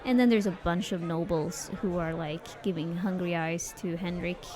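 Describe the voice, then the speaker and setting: A woman narrating as game master in a tabletop role-playing game, chatty and quick to laugh. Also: lowish voice, fairly even intonation, a crowd in the background.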